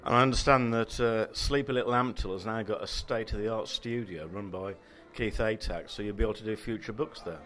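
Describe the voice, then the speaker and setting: A man's voice: lowish voice, a little fast, few pauses, a bit bright, slight background noise. A man with an English accent interviewing guests at a launch, asking chatty, friendly questions.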